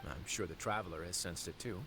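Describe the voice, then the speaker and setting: An actor playing a composed, shrewd man of authority in a video game, speaking in a measured, formal way. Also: sits low, background noise, natural voice. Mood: composed, pensive. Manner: measured, deliberate, formal wording. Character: composed, shrewd nobleman in authority, quietly calculating.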